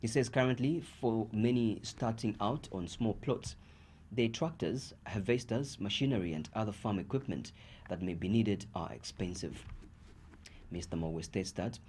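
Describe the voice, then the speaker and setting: A man's voice: lowish voice, darkish tone, a little hiss or hum. A man with a Zambian accent reading a scripted news bulletin. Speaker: news reader.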